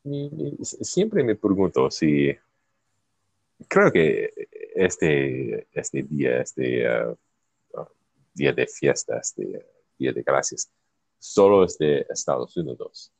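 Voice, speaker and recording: Male host, native English speaker, learner's Spanish: very deep, gravelly, slow, hesitant, lots of fillers. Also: sing-song, dry recording. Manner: halting, searching for words, many fillers. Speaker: podcast host. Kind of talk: casual chat, learner's Spanish.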